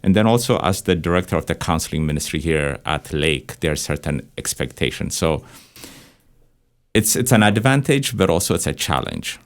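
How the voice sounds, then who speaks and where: Man, very low pitch, reflective, discursive, conversational. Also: animated, normal speed. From Ethiopia. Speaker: church counseling director and therapist, panel guest.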